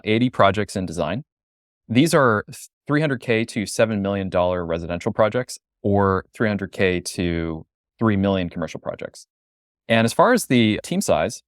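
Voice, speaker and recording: Male webinar host, thirties, lowish pitch, dry sound, presenter-interviewer delivery. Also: no echo.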